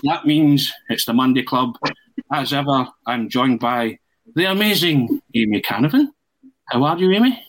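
A man's voice: mid-range voice, lively intonation, normal speed, low-quality recording. A man hosting a football podcast in casual chat, joking and chatty. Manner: jokey, chanting, laughs often. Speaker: podcast host.

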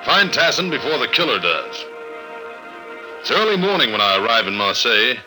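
An old radio recording with music carries a tough American secret agent, acted by a man. He talks in a clipped, wry, hard-boiled way at a middling male pitch, like the hero of a fifties adventure serial. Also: big pitch swings, moderate pace, lightly acted. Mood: wry, tense narration. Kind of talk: 1950s radio adventure acting.

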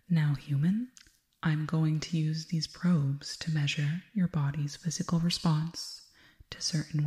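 A woman voicing a serene, detached alien girl in an ASMR hypnosis roleplay, speaking softly and breathily, mostly in whispers, with deliberate, formal phrasing. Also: sits low. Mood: calm, clinical.

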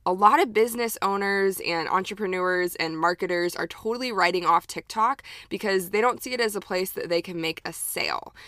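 Bright, upbeat Texan woman podcaster. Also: fairly low pitch, no room sound, clean studio sound. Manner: upbeat and chatty, frequent laughs and fillers. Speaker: podcast host. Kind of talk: casual solo podcast talk.

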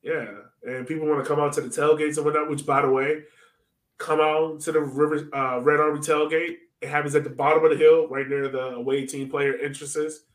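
A man's voice: a little high, flat delivery, fairly quick, roomy sound. A man hosting a podcast, chatting casually about sports in an informal, slangy way. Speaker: podcast host.

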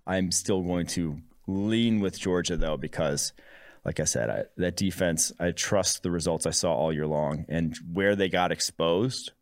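A man's voice: low-pitched, a little slow. A man hosting a sports podcast, giving casual, conversational analysis of games.

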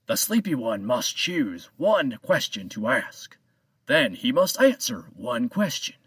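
Man doing a calm, cryptic old island keeper. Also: medium pitch, slightly rough, no room sound, recorded from a distance, exaggerated voice. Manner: slow, measured, riddling.

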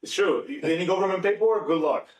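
A man from Venezuela with a Venezuelan accent, a native Spanish speaker, talking casually and informally in an animated way. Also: high-pitched, quick pace. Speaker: podcast participant. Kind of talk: casual conversation. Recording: echoey room, distant mic.

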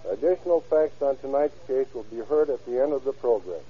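A man giving a stiff, formal, measured address as a sheriff's officer, reading from a script. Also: medium pitch, breathy edge, dull audio. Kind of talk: formal scripted speech read aloud. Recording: band-limited old radio broadcast recording.

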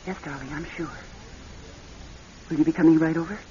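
An actress playing an affectionate, earnest wife in a radio drama. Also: female voice, deep voice, fairly even intonation, brisk, dark tone, natural voice. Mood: hushed, conspiratorial. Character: affectionate, pleading wife, secretly scheming. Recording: muffled, hissy old radio recording.

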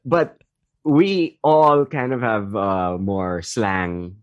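A Filipino man co-hosting a casual chat in English and Tagalog, telling stories and acting out bits of dialogue, with halting restarts. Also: fairly low pitch, no room sound, dull audio. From Philippines. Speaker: podcast co-host.

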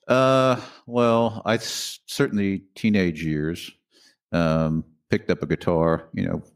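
A man with a very deep voice answers interview questions about his band. He talks in a halting way, with lots of fillers between phrases. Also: flat delivery, clear, resonant tone. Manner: halting, with many filler pauses. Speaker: guitarist, singer and songwriter of a band. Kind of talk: casual interview talk.

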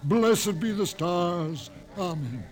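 A man's voice: sits high, expressive, slow pace, darkish tone. An actor in an old-time radio drama playing a fervent, folksy American male cult believer with a preachy, rapturous manner. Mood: pious fervor.

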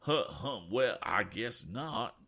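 Casting: rustic stubborn farmer, drawn out and gruff, voiced by a man. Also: on the low side, animated, bright, forceful tone, voice pushed up, exaggerated voice. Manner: slow drawl.

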